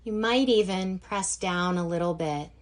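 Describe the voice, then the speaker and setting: A woman guiding a yoga nidra relaxation session as instructor, gentle and unhurried, leaving long pauses between phrases. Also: lowish voice. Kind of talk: guided meditation instruction.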